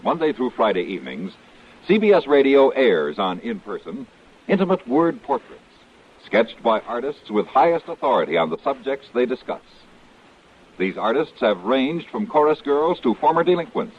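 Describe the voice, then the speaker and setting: A man's voice: medium pitch, slightly rough. A man announcing a scripted network promo in polished, emphatic 1950s radio style. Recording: old radio, narrow phone-like band.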